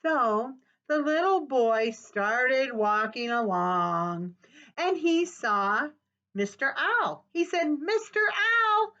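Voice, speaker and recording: An older woman who works as a children's librarian, reading a story aloud in an animated, sing-song way, recorded on a webcam in a small office. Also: a little high, takes it slowly. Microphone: webcam at desk. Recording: dull webcam audio.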